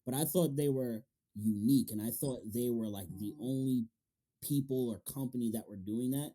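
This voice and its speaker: Male interviewer, mid-pitched and very relaxed in tone, informal and slangy, conversational delivery. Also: normal speed, faint music behind. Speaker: interviewer, show host. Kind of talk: casual interview chat.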